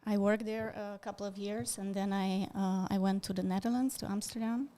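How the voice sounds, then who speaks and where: Flat-voiced woman, thoughtful guest. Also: mid-range voice, brisk. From Slovakia. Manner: thoughtful, many fillers and restarts. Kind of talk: interview answers.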